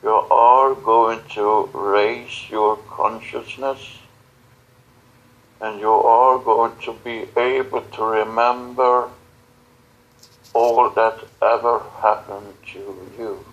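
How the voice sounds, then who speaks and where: Slow, halting man channeling a spiritual message. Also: on the low side, flat delivery, fairly bright tone, lo-fi sound. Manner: halting, solemn, broken phrasing. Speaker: channeler in guided visualization session.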